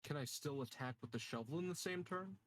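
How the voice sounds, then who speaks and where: A man's voice: a little high, animated, fairly quick, dry recording. Sweary man playing, dry close sound. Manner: informal, sweary. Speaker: tabletop game player. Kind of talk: casual chat.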